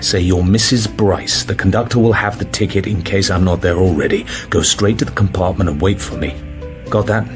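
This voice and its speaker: An actor playing a cool, guarded secret agent in a radio drama, clipped, dry and in command. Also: male voice, brisk, close to an everyday voice. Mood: wary, commanding. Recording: music and rumble behind dialogue.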